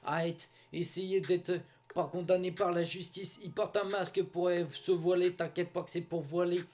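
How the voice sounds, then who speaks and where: A man's voice: high voice, flat delivery, normal speed, darkish tone, lo-fi sound. A man from Brittany rapping a rambling, repetitive, boastful freestyle over a beat. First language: French. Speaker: rapper. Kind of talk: freestyle rap.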